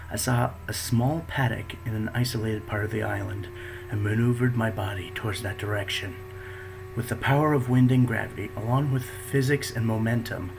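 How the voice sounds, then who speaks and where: A man with a fairly low voice reads his own story aloud in a plain, steady way. His voice jumps higher when he speaks the quoted thoughts. A faint hum of room ambience sits behind him. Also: low-quality recording. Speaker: fanfiction reader.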